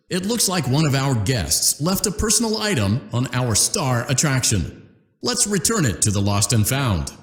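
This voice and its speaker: A man's voice: mid-range voice, roomy sound. A man voicing an upbeat corporate repair-training instructor in a game, giving polite, cheerful step-by-step instructions.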